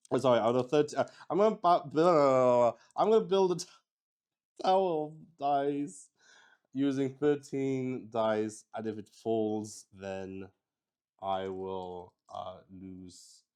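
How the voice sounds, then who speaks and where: Slow-paced man thinking out loud, halting. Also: medium pitch. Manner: halting, thinking aloud, repeats words; swears casually. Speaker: host playing a solo tabletop roleplaying game. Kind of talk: casual live-stream chat with game narration.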